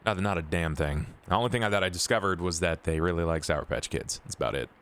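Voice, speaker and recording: A man's voice: low-pitched, fast talker, fairly bright tone, clean tone. A man playing a blunt, distrustful vampire freelancer with a casual, dry, dismissive manner in a tabletop game. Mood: dismissive, wary.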